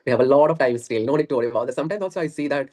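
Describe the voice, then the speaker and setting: A man's voice: medium pitch, racing, over a noisy crowd. Earnest man, rambling on a call. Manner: earnest, rambling, laughs often. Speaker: guest. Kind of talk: casual conversation practice.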